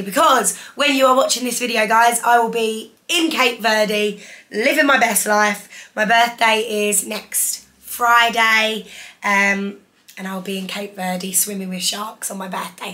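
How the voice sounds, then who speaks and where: A young woman in her twenties, hoarse from losing her voice, chatting enthusiastically through a clothing haul in her living room. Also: mid-range voice, sharp tone. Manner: chatty, enthusiastic, holds up each item. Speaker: fashion vlogger. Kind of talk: casual haul vlog. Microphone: camera in front, seated.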